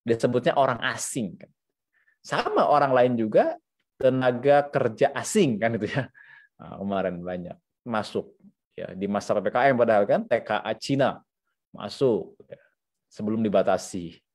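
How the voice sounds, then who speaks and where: Man, Indonesian accent, medium pitch, Islamic teacher, didactic lecture style, conversational, repeats phrases for clarity. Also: a little mellow. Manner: didactic, repeats phrases, conversational asides with frequent checking tags. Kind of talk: religious lecture explaining a text, reading Arabic and translating.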